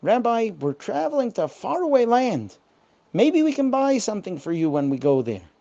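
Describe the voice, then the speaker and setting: A woman's voice: a little high, sing-song, normal speed, dark tone, lo-fi sound, voice pushed up. Woman reading as an eager, honest storybook sailor. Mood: earnest, eager.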